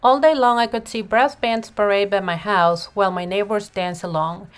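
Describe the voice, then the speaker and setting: A woman narrating a children's picture book in an expressive read-aloud style, with deliberate phrasing and emphasized words. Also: medium pitch. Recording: music playing behind the voice. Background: music.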